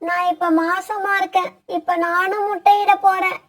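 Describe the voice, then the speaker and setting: A very high, thin cartoon crow wife, older and sorrowful, who pleads and laments through tears, as a woman voices her. Her voice sits far above an ordinary adult's. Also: level tone, normal speed. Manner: plaintive, tearful pleading. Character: aging expectant mother crow, sorrowful. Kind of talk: cartoon voice acting.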